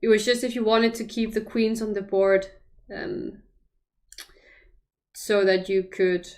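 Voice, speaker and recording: A woman's voice: mid-range voice, fairly even intonation, a little slow, a little mellow. A young woman from Denmark, commentating chess live on a stream and thinking aloud over the moves with hesitant restarts. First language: Danish.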